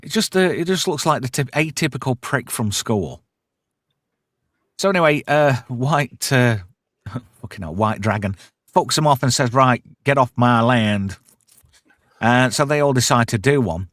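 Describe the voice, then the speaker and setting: A man's voice: medium pitch, expressive, moderate pace, fairly bright tone, no echo, studio quality. A British man hosting a pop culture show, recapping casually in sweary, irreverent slang.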